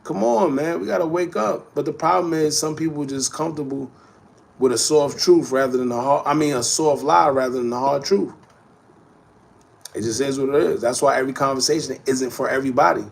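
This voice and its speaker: A man's voice: mid-range voice, a little fast, vocal fry. A man in his thirties hosting a casual livestream chat, talking in slangy, rambling street speech and answering viewer comments. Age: 30s. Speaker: host.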